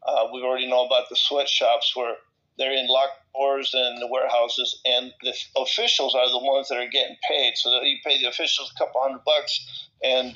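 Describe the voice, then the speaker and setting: A man with a bright, forceful voice at a middling pitch talks casually and without a script. He rambles, repeats words and drops sentences halfway before picking up again. The audio is a little dull and lo-fi. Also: level tone. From El Salvador. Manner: rambling, halting, repeats words and breaks off mid-thought. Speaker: discussion participant. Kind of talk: casual, impromptu personal talk in a group discussion.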